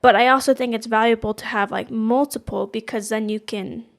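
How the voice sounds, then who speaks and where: A woman's voice: a little high, fairly even intonation, a little fast, creaky. A woman in her twenties co-hosting a podcast, talking casually and rambling, searching for words with lots of fillers. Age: 20s. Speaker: podcast co-host. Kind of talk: casual chat.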